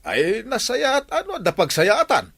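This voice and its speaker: A man playing a nosy, excitable villager in a comedy drama, with a Filipino accent. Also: high-pitched, moderate pace, vocal fry, husky, no room sound, full character voice. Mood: excited, amused.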